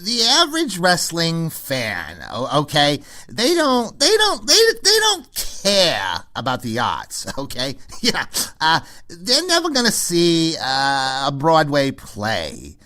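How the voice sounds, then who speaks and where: A man playing a smug, whiny, self-promoting New York arts snob who co-hosts a comedy radio show with halting, repetitive exasperation. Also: a little high, wildly swooping pitch, sharp tone, heavily acted. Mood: exasperated, amused. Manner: halting, repetitive, chuckles through exasperated complaints. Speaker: radio co-host. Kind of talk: comedy impression of a radio talk host.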